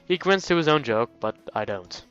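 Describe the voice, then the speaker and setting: A man's voice: on the high side, expressive, normal speed, a bit bright, dull audio, faint music behind. A man hosting a let's play, reading a visual novel aloud and breaking off into jokey exclamations.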